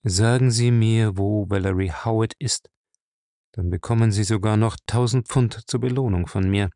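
A man voicing a grim, brutal captain, curt, gruff and threatening, in an audiobook. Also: fairly low pitch, fairly even intonation, moderate pace, dark tone, dry recording, clean studio sound, lightly acted. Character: grim, brutal captain, a menacing male interrogator. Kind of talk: audiobook character acting.

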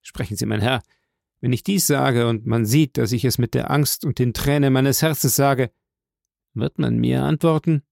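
A man's voice: medium pitch, moderate pace, gravelly, a little mellow, no echo, clean studio sound, natural voice. A man voicing a despairing, defiant young soldier in an audiobook, rising to anguished outbursts. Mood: pleading.

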